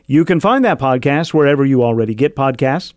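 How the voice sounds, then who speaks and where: A man's voice: mid-range voice, animated, fairly quick, darkish tone, dry recording, clean studio sound. A man hosting a radio show, delivering scripted narration. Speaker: radio host and reporter.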